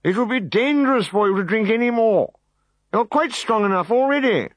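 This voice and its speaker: A man's voice: high voice, lively intonation, moderate pace, no echo, voice pushed up. A tired old storybook druid, firm and patient, speaks in a raised, thin voice, as a man puts him on. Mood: weary. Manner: weary, patient but firm. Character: weary old male druid.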